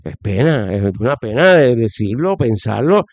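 Middle-aged man, Puerto Rican accent, emphatic interview guest with firm opinions, mid-pitched, dry recording. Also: very animated, normal speed, no echo, muffled recording. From Puerto Rico. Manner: opinionated, emphatic, repeats phrases for stress. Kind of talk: conversational political commentary.

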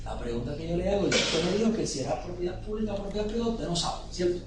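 A man's voice: high voice, animated, quick pace. A male defense lawyer cross-examining a witness in court with pressing, leading questions. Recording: distant courtroom mic, echoey, crowd noise.

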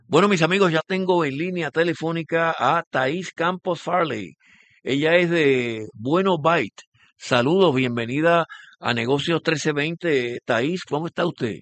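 A man's voice: a little high, a little slow, a bit bright, no echo. A man hosting a business radio show, interviewing a guest and often rephrasing his questions with fillers. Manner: repeats and rephrases, many fillers.

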